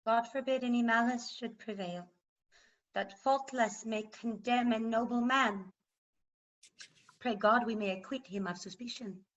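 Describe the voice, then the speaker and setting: A woman's voice: a little high, unhurried, natural voice. A woman playing a proud, passionate medieval queen in classical verse, recorded over a video call. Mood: feigned grief. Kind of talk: classical verse stage acting. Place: remote staged reading over video call. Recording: dull online video-call audio.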